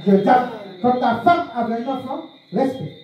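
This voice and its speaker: A man's voice: extremely high pitch, measured pace, a little mellow. A man giving a public talk on spiritual practice, questioning the audience and repeating key phrases. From France. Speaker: speaker of a spiritual movement. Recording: very echoey room, far from the mic, faint noise.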